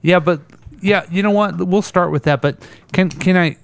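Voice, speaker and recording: A man's voice: high-pitched, normal speed, dry recording. A man hosting a casual comedic radio talk show, rambling and correcting himself as he goes.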